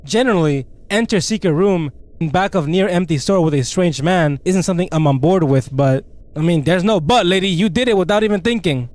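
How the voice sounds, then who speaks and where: A man with a slightly high voice gives let's play commentary on a story game. He reads on-screen text aloud and breaks into casual, slangy commentary. His delivery is expressive, with an incredulous edge. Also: brisk, no echo. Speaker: gaming video creator.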